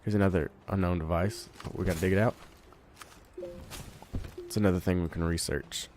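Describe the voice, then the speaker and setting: A man with a congested voice from a cold, giving relaxed let's play commentary, thinking aloud while he plays. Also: low voice, normal speed, soft background music. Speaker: gamer.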